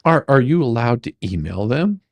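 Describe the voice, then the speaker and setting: A performer doing a comedic dramatic reading as a rambling, halting, faux-folksy lawyer questioning a witness in a deposition. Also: mid-range voice, big pitch swings, dry recording. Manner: halting, repetitive, mock-sarcastic, stumbling over questions.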